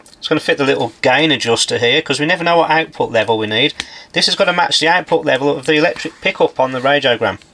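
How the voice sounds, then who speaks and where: A man's voice: a little high, fast, sharp tone, a little hiss or hum. A man in his forties talking casually and informally through hands-on electronics work as he does it, like a workshop demonstrator. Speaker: electronics repairer presenting a workshop video. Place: indoor electronics workshop at a workbench.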